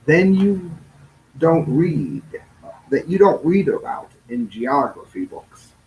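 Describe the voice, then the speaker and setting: Slow-paced man reading a rhyming children's storybook. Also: fairly high pitch, very animated, darkish tone, a little hiss or hum, low-quality recording. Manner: stumbles and corrects himself while reading. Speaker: storybook reader. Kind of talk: read-aloud children's storybook in rhyme.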